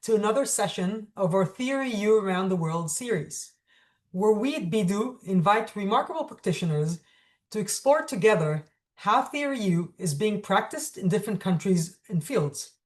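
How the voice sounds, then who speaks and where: Female host, mid-pitched, formal and measured, reading prepared introductions clearly. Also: expressive. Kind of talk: scripted introduction, interview hosting.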